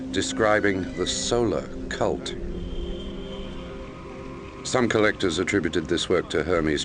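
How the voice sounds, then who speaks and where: An actor playing an investigative journalist, narrating a murder case in a measured, slightly hesitant documentary style for a game. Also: male voice, low-pitched, noisy background. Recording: music bed under the voice.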